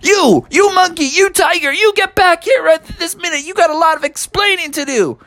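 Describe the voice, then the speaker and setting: A shrill, outraged little frog. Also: male voice, normal speed, bright, forceful tone, heavily acted. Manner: high squeaky outraged protest. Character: indignant fussy male frog, shrill and strict.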